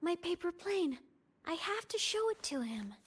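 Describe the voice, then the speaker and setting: A woman voicing a gentle, affectionate young woman with a playful, childlike side in a video game. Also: very high-pitched, very animated, moderate pace. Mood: wistful. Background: game music.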